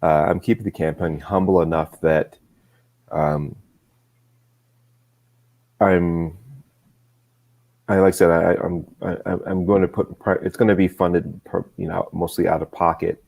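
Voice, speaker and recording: A man with a very low voice talks as a guest in a relaxed conversation. He chooses his words carefully and often repeats small words while finding his phrasing. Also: a little slow, vocal fry, long pauses. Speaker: guest, comics creator. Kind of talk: casual livestream chat.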